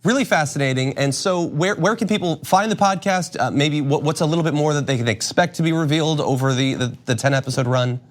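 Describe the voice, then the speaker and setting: A man's voice: a little high, quick pace, hardly any pauses. A man hosting a casual interview, feeling his way through his questions in a meandering, hesitant manner. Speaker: show host interviewing a guest.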